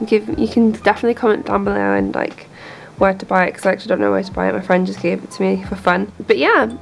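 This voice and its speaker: A woman talking informally in a rambling, hesitant way as she hosts a painting video, slightly croaky from a cold. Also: mid-range voice. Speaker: host of a painting channel. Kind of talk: casual chat and art tutorial. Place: indoors at home, at a desk.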